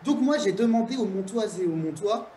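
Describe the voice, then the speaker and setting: A man's voice: sits high, animated, brisk, dark tone. A man chatting cheerfully with a host and explaining his street-interview project. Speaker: neuroscience contributor. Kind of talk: casual chat on a call. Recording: echoey room with faint noise.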